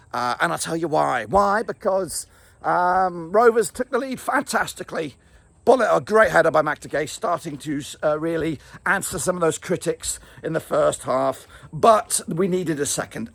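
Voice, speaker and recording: A man with a gravelly, slightly raised voice rambles excitedly through a football match reaction, full of fillers and restarts. His voice is wearing thin. The sound is close and dry, with no room around it. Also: fairly high pitch, no echo. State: losing his voice. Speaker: football fan vlogger. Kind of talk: casual match reaction to camera.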